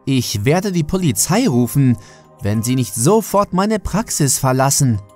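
A worried male doctor in a horror audiobook, acted by the narrating man. He speaks firmly with an exasperated edge, his voice raised well above its usual pitch, over music. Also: big pitch swings, a little slow, warm tone. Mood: firm, urgent. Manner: urgent, commanding.

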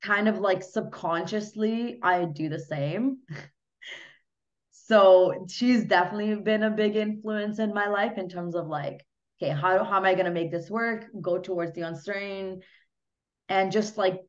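A woman's voice: medium pitch, steady tone, a little slow. A young woman from Hungary, a podcast guest, chatting casually in a small home room with lots of "you know" and "like". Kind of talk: casual interview chat. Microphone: laptop webcam mic on a video call. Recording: dull lo-fi video call audio.

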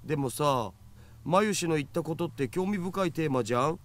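An actor playing a glib young otaku hacker in a visual novel, with a teasing, slangy manner. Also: male voice, a little high, expressive, very fast, lightly acted. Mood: matter-of-fact. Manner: flippant, slangy. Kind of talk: Japanese visual novel acting.

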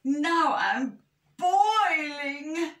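Storybook big bear, performed by a woman: slow, drawn-out, forever dissatisfied moaner, roomy distant sound. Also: on the low side, wildly swooping pitch, fairly bright tone, exaggerated voice. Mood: whiny, exasperated. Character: moaning, never-satisfied big cave bear. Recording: roomy echo, distant microphone.

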